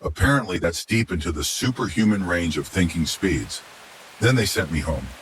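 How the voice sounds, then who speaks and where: A man's voice: low voice, normal speed. A man reading a scripted first-person horror story as its narrator. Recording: steady hiss behind the voice.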